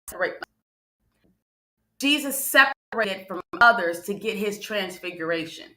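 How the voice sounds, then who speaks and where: A woman's voice: fairly high pitch, bright, forceful tone. A woman giving a motivational sermon in numbered points, emphatic and repeating phrases for stress, with conversational asides to her listeners. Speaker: motivational speaker and preacher on a morning show. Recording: roomy, echoing livestream sound.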